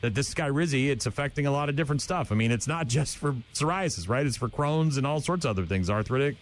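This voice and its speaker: A middle-aged man hosting a morning radio show, telling stories in blunt, joking banter that gets animated. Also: mid-range voice, fast talker, faint music behind. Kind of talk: casual on-air banter.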